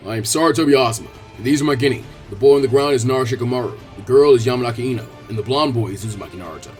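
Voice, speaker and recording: A man reading the part of a calm adult ninja squad leader in a story, easygoing, measured and instructive. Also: mid-range voice, faint music behind, pitched below the performer's own voice, lightly acted. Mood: friendly.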